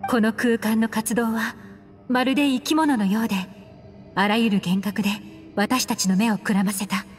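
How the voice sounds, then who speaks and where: An actress playing a composed, worldly and enigmatic woman investigator in a video game, with poised, measured speech. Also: fairly high pitch, steady tone, close to an everyday voice. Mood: somber. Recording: long hall reverb, music behind. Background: music.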